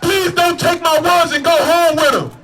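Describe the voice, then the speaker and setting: A man running for office, giving a fiery shouted protest speech at a rally with a repetitive, preacher-like cadence. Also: extremely high pitch, steady tone, normal speed, a faint crowd. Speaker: political candidate at a rally.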